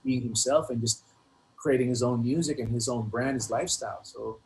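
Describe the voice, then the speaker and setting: Man in casual interview, noisy recording. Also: mid-range voice. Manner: halting, repetitive phrasing with frequent "you know". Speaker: guest hip hop artist.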